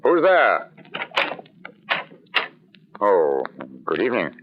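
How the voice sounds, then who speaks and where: An actor playing an ardent, brooding settler in an old radio drama, speaking in an earnest, formal, dramatic way. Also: male voice, lowish voice, wildly swooping pitch, extremely slow, exaggerated voice. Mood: tense, alert, then guardedly polite. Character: ardent, brooding male settler in a colonial outpost. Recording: muffled, noisy old radio recording.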